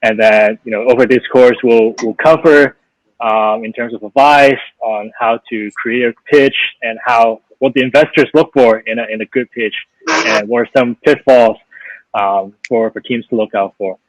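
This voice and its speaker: A man's voice: medium pitch, steady tone, normal speed, no room sound, low-quality recording. A man moderating an online panel chat, easygoing, with frequent fillers and repeated words.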